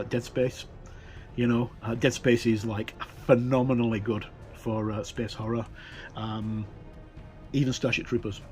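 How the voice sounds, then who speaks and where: A man's voice: fairly low pitch, a little mellow. A middle-aged man giving a casual, unscripted commentary as a reviewer, thinking aloud with hesitant, informal phrasing. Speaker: tabletop game reviewer. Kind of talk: casual commentary, talking through a rulebook.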